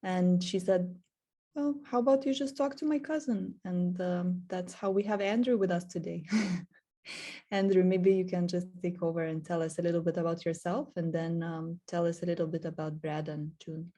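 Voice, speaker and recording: A woman's voice: on the low side, fairly even intonation, moderate pace, dark tone. A woman hosting an online webinar, introducing guests with frequent hesitations. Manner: hesitant, many ums. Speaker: webinar host.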